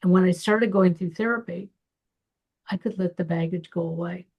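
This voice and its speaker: Gravelly-voiced woman podcast host. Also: lowish voice, moderate pace, muffled recording. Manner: reflective, personal storytelling. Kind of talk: personal conversation.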